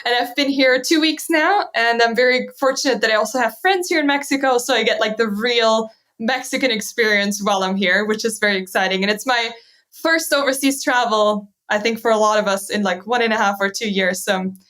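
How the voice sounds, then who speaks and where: A woman's voice: fairly high pitch, brisk, fairly bright tone. Upbeat German-accented young woman. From southern Germany. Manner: upbeat, laughs often, many "like" and "right?" fillers. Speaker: guest. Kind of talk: casual interview conversation.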